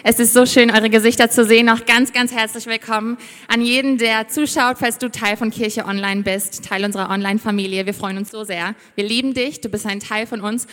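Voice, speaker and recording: A woman's voice: a little high, fairly even intonation, a little fast, fairly bright tone. Effusive young woman preaching in a ringing hall. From Siberia. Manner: effusive, heartfelt, enthusiastic. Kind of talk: sermon with Bible reading. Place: church stage before a congregation. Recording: reverberant church hall.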